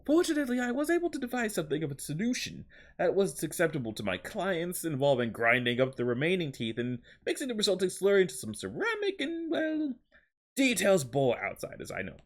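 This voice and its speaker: A man's voice: sits high, wildly swooping pitch, fairly quick, voice pushed up. A man voicing a squeamish, fussy preservationist giving grim testimony in a theatrical, faltering put-on accent that wanders. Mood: fussy, explaining. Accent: put-on, wandering between several accents. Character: squeamish, fussy taxidermist-like preservationist recounting gruesome job. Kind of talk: dramatic interview testimony reading.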